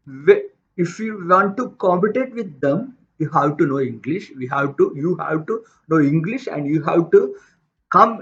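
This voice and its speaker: Halting Tamil-accented man, dull lo-fi sound. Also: high voice, fairly bright tone. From India. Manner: halting, with many restarts and repeated words. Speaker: motivational vlogger. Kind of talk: unscripted personal talk.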